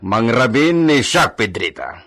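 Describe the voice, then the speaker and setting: A man's voice: mid-range voice, very animated, unhurried, fairly bright tone, a little hiss or hum. A man playing a stern, lordly landowner in an Ilocano radio drama, speaking formally with Spanish words. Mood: weary, stern. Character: imperious Spanish-styled landowner, stern male master.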